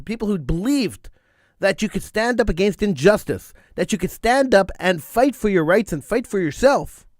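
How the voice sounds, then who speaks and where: A man's voice: high voice, dry recording, studio quality. A middle-aged man hosting a talk radio show, speaking emphatically and repeating phrases for stress, with a slight cold wearing on his voice. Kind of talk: impassioned talk radio monologue.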